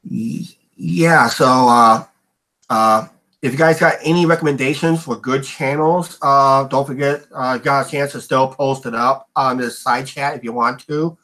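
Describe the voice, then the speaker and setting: A man's voice: medium pitch, steady tone, measured pace, pushed and bright, poor audio. A middle-aged man hosting a casual livestream chat, rambling with lots of "uh" and "and all that stuff" fillers. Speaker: livestream host.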